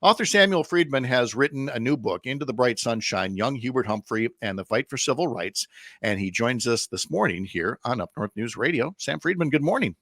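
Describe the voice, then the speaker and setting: Dry studio sound, American man, middle-aged radio interviewer, medium pitch, conversational, halting self-corrections. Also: expressive, fairly bright tone, no echo. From American Midwest. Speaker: radio show host, interviewer.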